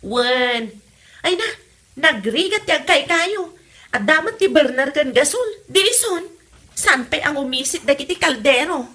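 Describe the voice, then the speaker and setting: Casting: actress as excuse-making young Ilocano wife, sharp high voice, defensive, radio comedy. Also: female voice, sing-song, unhurried, full character voice. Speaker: actor in a comedy drama.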